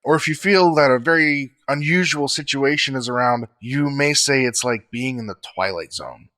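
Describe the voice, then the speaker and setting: A man co-hosting a podcast, explaining facts in a measured, scripted way. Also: medium pitch, bright, forceful tone.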